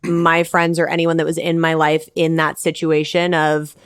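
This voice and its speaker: A woman's voice: deep voice, monotone, normal speed, smooth, dry recording, studio quality. A woman hosting a podcast in casual conversation, speaking candidly and swearing freely. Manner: candid, profane. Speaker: podcast host.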